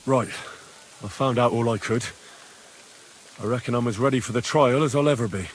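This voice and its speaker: An actor playing a young, common medieval soldier, frank and matter-of-fact, in a dramatic video game. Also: male voice, medium pitch, normal speed, a little hiss or hum, close to an everyday voice. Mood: resigned.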